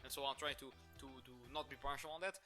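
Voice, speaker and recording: A man's voice: medium pitch, fairly even intonation, quick pace, loud music behind the voice. A man in his twenties with a Portuguese accent, a business owner giving his opinions in a talking-head video with many restarts. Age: 20s. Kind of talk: talking-head monologue to camera.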